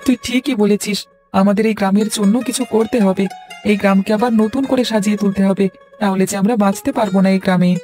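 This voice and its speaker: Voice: medium pitch, fairly even intonation, fast, warm tone, soft background music, close to an everyday voice. A performer playing an earnest, supportive young village boy in a cartoon fairy tale. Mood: earnest, worried. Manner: earnest, agreeable, encouraging.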